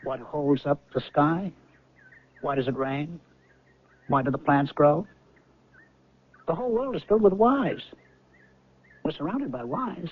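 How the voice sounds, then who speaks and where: An actor playing an earnest, disillusioned, restless man in 1970s radio drama, speaking theatrically and emphatically with halting pauses. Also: a little high, animated, breathy edge. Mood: restless, weary. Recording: thin phone-like old radio recording, noisy.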